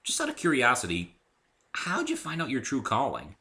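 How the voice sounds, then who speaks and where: A man's voice: medium pitch, wildly swooping pitch, moderate pace, pushed and bright, voice pushed up, heavily acted. Man playing a polite, curious young-hearted visitor. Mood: hesitant. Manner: hesitant, apologetic, casual. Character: polite, curious male visitor named Dave.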